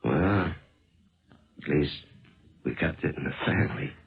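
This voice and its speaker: Casting: gruff detective husband, gravelly and low-key, unhurried delivery, dull old radio sound. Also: male voice, exaggerated voice. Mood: wry, resigned. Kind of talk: 1970s radio drama acting.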